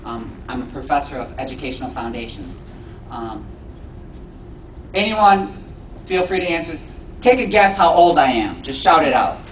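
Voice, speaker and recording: Young male lecturer, halting and chatty with the crowd, miked from far off in a big reverberant hall. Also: mid-range voice, big pitch swings, a little hiss or hum. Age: 20s. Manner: hesitant, many ums and restarts, quizzes the audience. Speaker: guest speaker, professor. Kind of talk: interactive lecture to students. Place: large auditorium. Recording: echoey hall, distant mic, dull sound.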